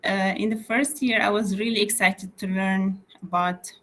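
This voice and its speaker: A woman's voice: mid-range voice, fairly even intonation, normal speed, a bit bright, a little hiss or hum. A young woman raised in Malaysia, talking about her work as an interior architect in a casual interview over a video call, with many fillers and restarts. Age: young adult.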